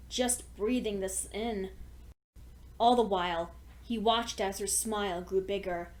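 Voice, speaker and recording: A woman's voice: medium pitch, low-quality recording. A woman reading fan fiction aloud as a scripted story narration.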